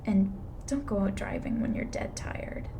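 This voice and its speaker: A woman playing a blunt, weary, reluctantly soft-hearted reaper in an intimate ASMR roleplay, dry and matter-of-fact. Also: medium pitch, measured pace, background noise, lightly acted. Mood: firm, instructing.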